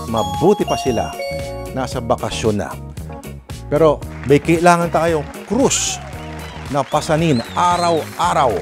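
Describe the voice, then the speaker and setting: A man hosting and narrating a radio drama program, chatty and dramatic, often addressing his listeners directly. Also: medium pitch. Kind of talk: conversational radio hosting and storytelling. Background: music.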